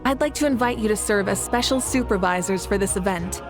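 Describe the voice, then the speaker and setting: A woman's voice: medium pitch, fairly quick, loud music behind the voice, natural voice. A woman playing a confident, kindly female ruler in a game, speaking in a composed, assured and friendly way. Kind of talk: game voice acting.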